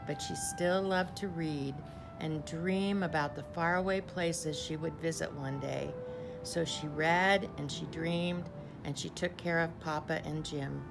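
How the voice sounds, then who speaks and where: Low-pitched woman reading a storybook, music behind. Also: vocal fry. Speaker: teacher reading a picture book aloud. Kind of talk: storybook read-aloud.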